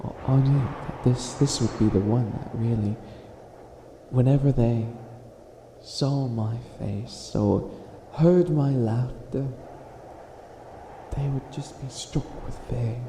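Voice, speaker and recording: A flustered, self-styled evil witch, acted by a man in a comedic ASMR roleplay. The voice sits at a medium pitch and stammers through grand, theatrical boasts with drawn-out emphasis. It sounds in an echoey room with noticeable background noise. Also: warm tone. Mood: proud, wistful.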